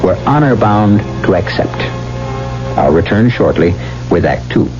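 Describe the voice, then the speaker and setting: Low-pitched, theatrical male radio drama host. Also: animated. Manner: theatrical, deliberate. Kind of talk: dramatic scripted narration. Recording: muffled old radio, loud music behind.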